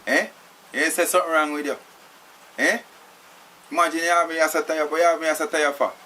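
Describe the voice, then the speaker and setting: A man's voice: a little high, steady tone, fairly quick, sharp tone, a little hiss or hum, poor audio. A man with a Jamaican accent playing a tied-up young captive, pleading and arguing, peppering his talk with "you know". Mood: agitated.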